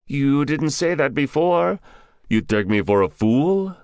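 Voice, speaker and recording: A man voicing a cold, menacing gunman posing as a drug buyer in an audiobook, curt, threatening and deliberate. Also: sits low, sing-song, no echo, studio quality, exaggerated voice. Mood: snapping. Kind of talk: audiobook character dialogue.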